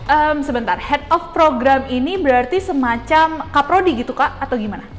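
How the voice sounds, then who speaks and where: A woman's voice: high-pitched, animated, normal speed. A woman interviewer speaks. She keeps it casual and informal, often checking and confirming answers. Her voice carries long reverb, with music behind it. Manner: informal, frequent short acknowledgements and confirming questions. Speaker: interviewer, talk show host.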